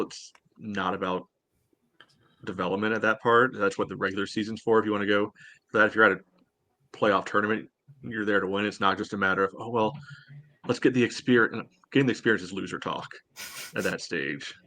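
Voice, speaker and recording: A man debating casually on a sports podcast, arguing his points in halting phrases with frequent verbal padding. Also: on the low side, steady tone, sharp tone, no echo. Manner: argumentative, halting, peppered with "you know". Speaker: podcast co-host.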